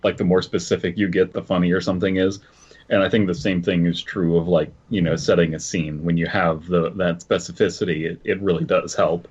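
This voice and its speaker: A man's voice: sits low, level tone, a little fast, a little mellow, breathy edge. A man co-hosting a horror podcast, talking hesitantly and searching for words as he chats and reads. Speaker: podcast co-host. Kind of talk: casual chat and story reading. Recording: dull muffled audio.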